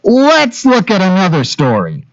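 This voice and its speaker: A man's voice: high voice, wildly swooping pitch, a little slow, muffled recording. A man narrating scripted stories in an earnest, conversational way.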